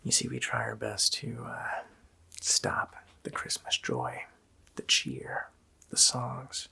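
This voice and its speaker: A man voicing a sadistic, joking Christmas demon in a gravelly, whispered ASMR roleplay, with a slow, mockingly playful menace. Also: medium pitch, big pitch swings, pushed and bright, exaggerated voice. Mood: sinister, scornful. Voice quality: gravelly whisper with creaky growl.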